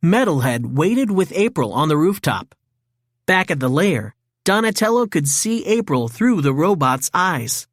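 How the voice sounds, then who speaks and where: Lively storybook narrator woman, very dry sound. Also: low voice, unhurried, no room sound. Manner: expressive, dramatic read-aloud delivery. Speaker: children's story reader. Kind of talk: scripted storybook narration for children.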